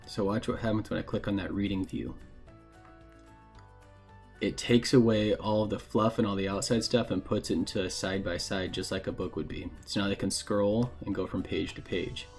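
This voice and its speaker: A man narrating a casual software tutorial in a plain, informal, explanatory way. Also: lowish voice, steady tone, moderate pace, soft background music. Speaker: instructor giving a software tutorial.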